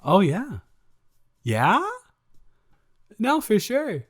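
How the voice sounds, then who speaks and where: A man's voice: high-pitched, huge pitch swings, drawn-out words, slightly rough, full character voice. A man playing a sheepish, good-natured roommate in an improvised roleplay audio, talking casually with hesitant, stammering repeats. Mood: eager, playful. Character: sheepish, awkward, good-natured male roommate, secretly smitten.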